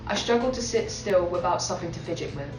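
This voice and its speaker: A woman in her twenties from London answers thoughtfully in a lowish voice. She is recorded from a distance in an echoey space. Music plays clearly behind her. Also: lively intonation, quick pace. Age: 20s. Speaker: interviewee. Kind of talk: interview answers.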